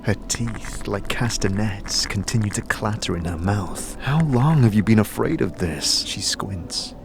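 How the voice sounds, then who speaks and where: A man's voice: on the low side, animated, measured pace, gravelly. A man performing dramatic scripted narration for an audio drama. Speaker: narrator and lead actor.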